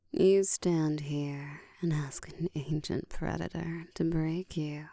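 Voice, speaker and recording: A woman voicing a dominant, seductive ancient vampire in an intimate, breathy whispered ASMR roleplay, teasing and deliberate. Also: low voice, mellow, no echo, heavily acted. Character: dominant, seductive ancient female vampire, hungry yet controlled.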